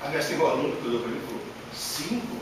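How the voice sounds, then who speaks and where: A man giving a workshop lecture to an audience, animated and emphatic, with many rhetorical questions, speaking Portuguese as a learned language. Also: a little high, quick pace. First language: not Portuguese. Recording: echoey hall from far off, noisy.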